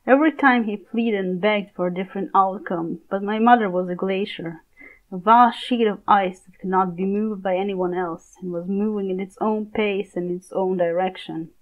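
A young woman giving a deliberate, theatrical scripted reading as an amateur voice actor. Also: medium pitch, narrow, tinny audio. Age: young adult.

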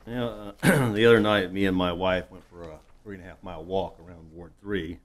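A man's voice: deep voice, steady tone, fairly quick. A man speaking as a council member, making informal, blunt and folksy complaints in a meeting room.

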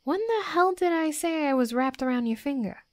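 A woman voicing a tough young female werewolf in an intimate roleplay audio drama, gruffly defensive and stammering, secretly smitten. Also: sits high, lively intonation, moderate pace, a little mellow, no echo, studio quality. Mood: indignant, embarrassed.

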